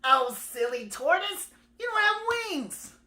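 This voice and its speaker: A woman voicing excitable, gullible birds in a folktale, with a gushing, dramatic delivery. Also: high-pitched, takes it slowly, voice pushed up, exaggerated voice. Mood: gushing, condescending. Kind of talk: animated oral storytelling.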